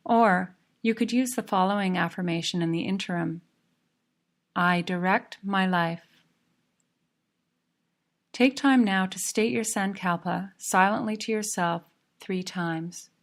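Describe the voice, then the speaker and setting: A woman narrating a guided yoga relaxation in a calm, measured, soothing manner. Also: mid-range voice.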